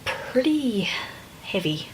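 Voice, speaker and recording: A woman's voice: medium pitch, very animated, slow, slight background noise, low-quality recording. A woman co-hosting a casual podcast book discussion, thinking aloud hesitantly and circling back over her phrases. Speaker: podcast co-host.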